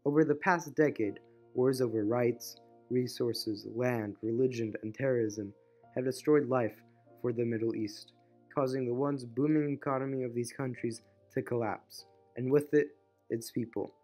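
A man's voice: medium pitch, steady tone, moderate pace, darkish tone. A teenage male student narrating a documentary in an earnest, read-aloud voice. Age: teens. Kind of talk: scripted narration. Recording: voice-over over background music.

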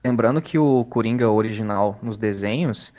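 A man's voice: fairly low pitch, normal speed, darkish tone, muffled recording. A Brazilian man chatting casually as a podcast co-host. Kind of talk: casual chat.

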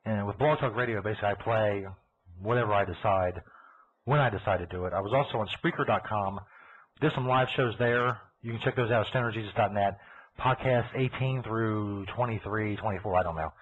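Kentucky man, low husky voice, rambling profane radio host, tinny phone-like sound. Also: a little fast, a little hiss or hum. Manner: profane, laughing, talks over himself. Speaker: host of a live internet radio show. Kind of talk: rambling casual radio chat. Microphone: computer mic over a call line. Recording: tinny phone-like internet broadcast.

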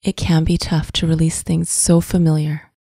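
A woman narrating a scripted guided visualization in a soft, breathy, soothing voice, with deliberate phrasing and long pauses. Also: low voice, level tone, no room sound, clean studio sound. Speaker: guided meditation host.

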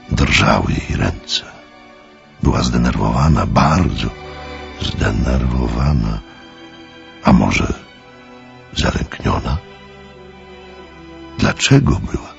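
A man's voice: very deep voice, gravelly. A man narrating an audio drama in a dramatic, scripted storytelling style. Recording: slightly muffled, music underneath. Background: music.

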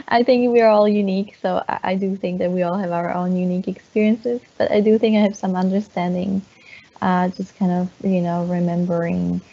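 Warm-voiced woman teacher, measured. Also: medium pitch, steady tone, vocal fry. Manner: measured, repeats words while thinking. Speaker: teacher and therapist. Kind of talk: interview answer on a video call. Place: room at home. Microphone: wired earphone mic on a video call. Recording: dull lo-fi video call audio.

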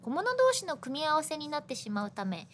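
A woman's voice: high-pitched, huge pitch swings, brisk, a bit bright, slight background noise. A woman radio co-host chatting casually and reading out listener messages.

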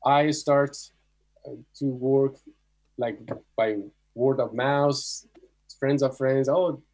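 A middle-aged man with an Iranian accent, a native Persian speaker, tells his story casually in halting English on a video call. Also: mid-range voice, fairly even intonation, darkish tone, rough recording. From Iran. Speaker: guest photographer.

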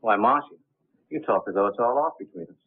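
An actor playing a wry, gentlemanly suitor in a 1930s film. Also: male voice, mid-range voice, fast, natural voice. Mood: wounded, wry. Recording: tinny, band-limited 1930s film soundtrack.

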